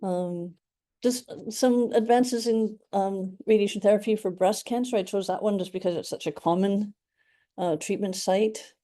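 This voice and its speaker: A woman's voice: medium pitch, fairly even intonation, normal speed, mellow. A middle-aged woman from Canada with a Canadian accent, a radiation oncologist giving an earnest, enthusiastic talk to a support group, with frequent ums.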